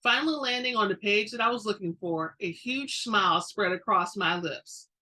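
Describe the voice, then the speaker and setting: A woman reads fiction aloud with theatrical flair. Her voice is bright and sharp, at a medium pitch, and her delivery is expressive. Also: normal speed. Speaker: book reader and channel host. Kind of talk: dramatic audiobook narration.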